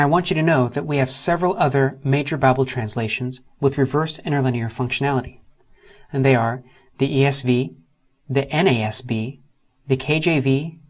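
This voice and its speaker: A man with a mid-range voice talks through a software tutorial in a steady, methodical way. He takes each step in order and slows right down whenever he spells out a term or letters. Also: mid-range voice. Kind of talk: scripted screen-recorded tutorial narration.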